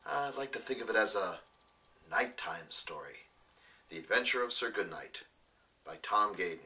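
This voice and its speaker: A man reads a children's storybook as its author. His voice sits on the low side. The delivery is rhymed bedtime storytelling. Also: expressive, normal speed, pushed and bright, breathy edge. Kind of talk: rhyming bedtime storybook narration.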